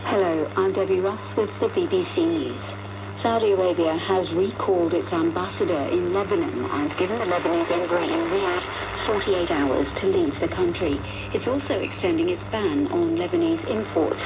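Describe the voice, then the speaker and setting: Creaky-voiced woman newsreader through heavy shortwave noise. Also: on the low side, expressive, normal speed. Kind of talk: formal scripted news bulletin. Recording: noisy shortwave radio broadcast.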